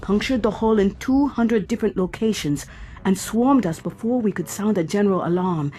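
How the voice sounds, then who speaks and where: Female ship AI played by an actress: wry, precise, clinical, mid-pitched, set against music. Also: normal speed, lightly acted. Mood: urgent. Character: wry, composed female shipboard artificial intelligence. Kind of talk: science fiction audio drama acting.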